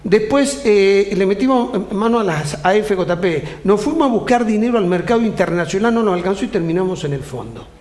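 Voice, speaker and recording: High-voiced Argentine host in an echoey room. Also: male voice, animated, fairly quick, few pauses, a little mellow. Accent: Argentine Spanish. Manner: emphatic, listing points with many interjections. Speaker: TV interview host. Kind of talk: interview questions and commentary.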